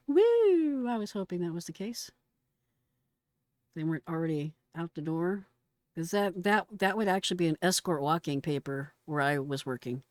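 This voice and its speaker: Blunt American woman, low-pitched, casual. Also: very animated, normal speed, a little mellow, no room sound, clean studio sound. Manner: blunt, exclamatory, slangy. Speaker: retired emergency dispatcher reacting to a call recording. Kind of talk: casual reaction commentary.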